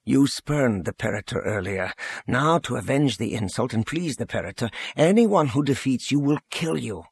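Man playing stern general, husky, blunt, intense and pressing, animated pitch, audiobook character. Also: normal speed, no echo, heavily acted. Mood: stern, pleading. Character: earnest, stern male military general, blunt and morally indignant.

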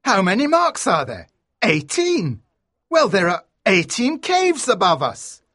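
A man acting an excitable adventurer lord, swooping pitch. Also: measured pace, slightly rough, dry recording, studio quality, exaggerated voice. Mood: excited, reasoning. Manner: emphatic, exclamatory. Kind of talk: audiobook character voice.

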